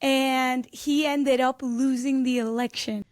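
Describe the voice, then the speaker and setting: Bubbly high-voiced young woman. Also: steady tone, slow. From Peru. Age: 20s. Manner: bubbly, laughs often, storytelling. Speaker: guest. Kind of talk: casual interview chat.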